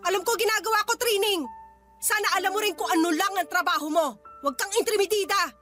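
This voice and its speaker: Radio drama casting: an irritable working mother, very high, bright and forceful, scolding and dismissive. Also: fast talker. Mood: angry. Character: irritable, tired working mother scolding her child's nanny. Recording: music bed behind the voice.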